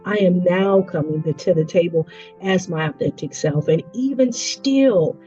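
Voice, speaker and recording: Earnest 40s woman telling her story, low-ish warm voice, kinda dull lo-fi audio. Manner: earnest, reflective, slows down to stress points. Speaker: education leader. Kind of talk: personal testimony talk. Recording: dull lo-fi audio with faint music.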